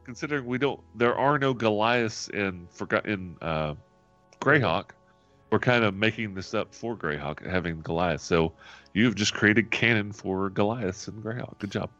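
A man's voice: lowish voice, moderate pace, fairly bright tone, lo-fi sound, soft background music. A man acting as game master, chatting and narrating casually during a tabletop roleplaying game.

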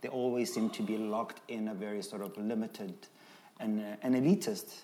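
A man's voice: fairly low pitch, steady tone, moderate pace, mellow, a little hiss or hum. A man on a discussion panel, reasoning aloud with frequent ums and restarts. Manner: hesitant, many ums and restarts while reasoning.

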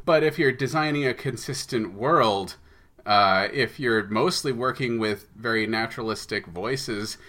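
A man's voice: medium pitch, a little slow, sharp tone. A man talking conversationally as an interview guest, explaining things in a thoughtful, analytical way. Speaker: podcast guest, audio drama writer.

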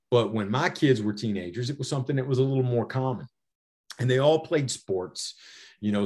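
A middle-aged man with an American accent answering interview questions conversationally, explaining things with personal anecdotes and often saying "you know". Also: on the low side. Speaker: podcast guest, safety author and former federal air marshal.

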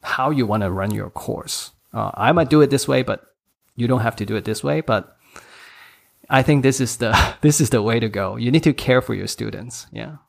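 A man's voice: medium pitch, normal speed, gravelly. A man in his thirties with a Hong Kong accent, speaking English as a third language, chatting as a podcast guest in a candid, self-deprecating way with frequent laughs. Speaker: podcast guest, course creator. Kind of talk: casual interview chat.

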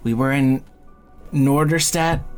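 A woman's voice: rumbling low, unhurried, darkish tone, faint music behind. A woman playing a wounded, slangy criminal in an audio drama, joking and rambling while shaky with pain. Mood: rattled, flippant. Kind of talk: fiction podcast audio drama acting.

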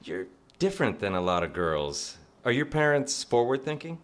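A man's voice: medium pitch, very animated, normal speed, fairly bright tone. An actor playing an eager, courteous young executive courting a woman in a live radio drama. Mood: eager, playful. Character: eager, gentlemanly young Princeton-educated executive suitor.

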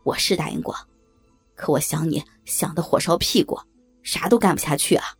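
A coarse, pushy northeastern man of middle years, voiced by a woman narrator. His voice sits deep and husky, and he talks bluntly, bossing people around. It reads like a homespun audio story. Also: animated, moderate pace, faint music behind, heavily acted. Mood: urgent. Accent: Northeastern Chinese. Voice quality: gruff, low put-on voice. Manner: blunt, domineering.

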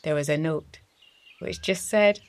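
Lady reading a little kids story, really unhurried, bit low pitched, expressive, music in the background. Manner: deliberate, animated storytelling for young listeners. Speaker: storyteller and host of a children's story series. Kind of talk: expressive children's story narration.

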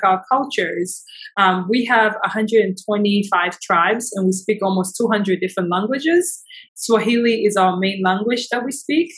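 A woman's voice: mid-range voice, fairly bright tone, poor audio. Enthusiastic woman from Tanzania, interview guest. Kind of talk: conversational interview answers.

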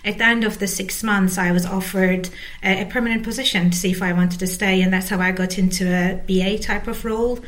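A woman's voice: mid-range voice, fairly even intonation, fairly quick. A woman in her forties from Turkey, speaking as an executive guest in a conversational interview. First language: Turkish. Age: 40s. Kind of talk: conversational interview about career.

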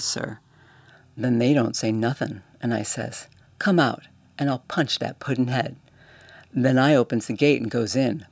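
A man's voice: medium pitch, gravelly, slightly rough, no room sound. A man voicing a young male bellhop with a Cockney accent, deferential and earnest, saying sir after nearly every phrase and dropping his g's. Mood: agitated.

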